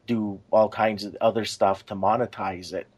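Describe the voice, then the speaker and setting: Lower-voiced man co-hosting a podcast. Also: steady tone, normal speed, a little mellow. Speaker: podcast co-host. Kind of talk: casual discussion.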